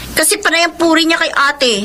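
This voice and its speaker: A Filipino actress playing a jealous, sulky younger sister, a university student, whiny and resentful in a radio drama. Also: female voice, very high voice, fairly even intonation, fairly quick, pushed and bright, a little hiss or hum. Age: young adult.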